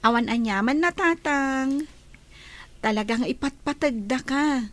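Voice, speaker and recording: A caring grown daughter in a village radio drama, played by a woman. She speaks attentively and politely in a high voice and has an Ilocano accent. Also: unhurried.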